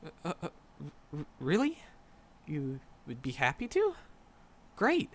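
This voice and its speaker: A man's voice: on the high side, wildly swooping pitch, exaggerated voice. A man playing a shy, flustered magic professor in a roleplay audio drama, stammering and nervous as he talks to a secret crush. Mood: flustered, relieved. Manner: nervous, halting, stammering, rambling. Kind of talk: roleplay audio drama, intimate one-sided dialogue.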